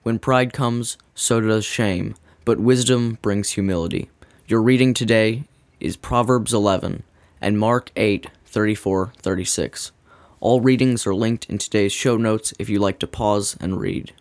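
A man reading a scripted devotional in a measured, earnest way. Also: on the low side, dry recording. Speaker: devotional reader.

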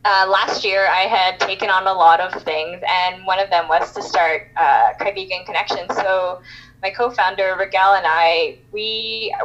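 A woman's voice: mid-range voice, fairly even intonation, a little slow, a bit bright, lo-fi sound. A woman from Canada, a guest on a podcast, talking casually with lots of fillers and restarts. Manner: chatty, many fillers and restarts. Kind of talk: casual interview chat.

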